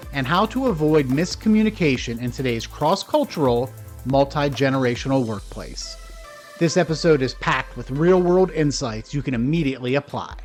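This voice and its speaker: A man hosting a podcast interview, reading a polished introduction and then asking friendly questions. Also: fairly high pitch. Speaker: podcast host.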